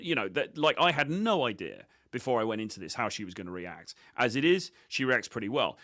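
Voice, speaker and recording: A man's voice: mid-range voice, a bit bright, no room sound, studio quality. A man giving informal coaching commentary on video clips, blunt, sweary and self-assured. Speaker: dating coach presenter.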